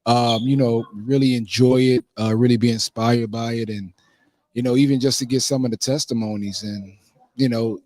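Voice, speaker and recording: A man's voice: mid-range voice, steady tone, normal speed, darkish tone, no room sound. Man in a casual interview, dry close sound. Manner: informal, punctuated with "man" and "you know". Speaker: playwright and producer being interviewed.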